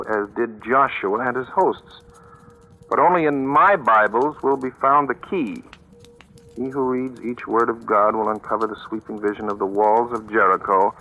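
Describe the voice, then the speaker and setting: A man's voice: fairly low pitch, pushed and bright, lo-fi sound. A man acting a wry, jaunty sleuth in a 1940s radio thriller, with a sardonic, dryly formal, wordy delivery. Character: wry, jaunty male sleuth narrating his own story. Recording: vintage radio drama, music behind.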